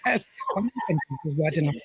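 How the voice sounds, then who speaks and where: A man's voice: a little high, lively intonation, measured pace, a crowd in the background. A man hosting a radio podcast, chatting with a guest and reading out listener comments. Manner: reads listener comments, warm shout-outs. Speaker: podcast host and DJ. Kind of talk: casual interview chat.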